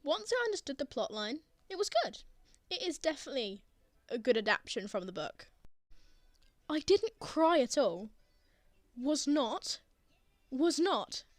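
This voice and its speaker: A woman's voice: high-pitched, very animated, a little slow, pauses often, dry recording. A young woman playing a teasing, bashful but tender college student in an intimate roleplay audio drama. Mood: defensive, teasing. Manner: playful teasing with bashful hesitations.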